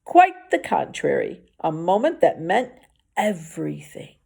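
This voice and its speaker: A woman's voice: fairly low pitch, sing-song, unhurried, gravelly, dark tone, slightly rough, exaggerated voice. A woman voicing an encouraging female schoolteacher who gives emphatic instructions in a children's novel reading. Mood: instructive.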